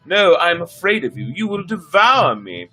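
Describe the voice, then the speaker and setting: A man's voice: high-pitched, very animated, moderate pace, pushed and bright, faint music behind, pitched above the performer's own voice, exaggerated voice. A man voicing a lost, weeping little girl from a fairy tale, plaintive, wary and dramatic. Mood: frightened refusal. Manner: plaintive, dramatic, repetitive lamenting.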